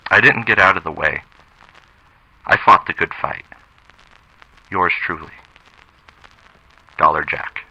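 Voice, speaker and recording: An actor playing a doomed small-time thief in a classic radio drama, measured, streetwise and earnest, remorseful yet resolute. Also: male voice, low voice, creaky, bright, forceful tone, heavily acted, low-quality recording.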